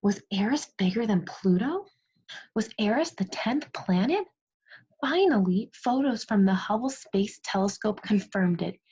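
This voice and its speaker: A woman teacher reading a storybook aloud to young children in an online lesson, expressive, pausing to prompt and praise her pupils. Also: mid-range voice, slightly rough. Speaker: second grade teacher. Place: remote video class. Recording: muffled, lo-fi online class audio.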